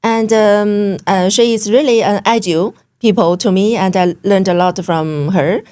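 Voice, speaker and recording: A woman's voice: mid-range voice, measured pace, darkish tone, clean tone. Woman, Chinese accent, non-native English, hesitant, filler-heavy, interview guest. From China. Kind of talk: interview conversation.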